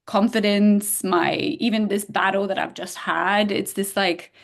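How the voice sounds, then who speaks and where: A middle-aged woman from Bulgaria chats as an interview guest, with a gravelly edge of vocal fry in her voice. She talks in an animated way and fills her sentences with "like" while she thinks. Her pitch sits in a moderate middle range. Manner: animated, frequent "like" fillers, quick laughs. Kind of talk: casual interview chat.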